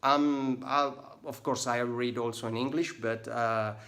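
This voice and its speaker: Italian-accented male vlogger, mid-pitched, halting with frequent ums, frank and opinionated. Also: steady tone, fairly bright tone. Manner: hesitant, many ums and uhs, opinionated asides. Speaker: tattoo vlogger reviewing a book. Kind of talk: casual spoken book review.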